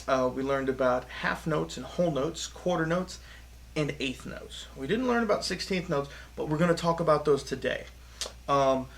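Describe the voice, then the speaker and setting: A man's voice: fairly high pitch, gravelly, fairly bright tone. A man teaching a music lesson to children in an upbeat, chatty way, leading call-and-response rhythm chants.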